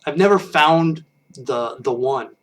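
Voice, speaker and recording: A man's voice: fairly high pitch, slow pace, distant mic. Rambling man in his thirties on webcam. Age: 30s. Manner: rambling and self-deprecating, many restarts. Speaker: live stream host. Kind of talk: casual chat with viewers.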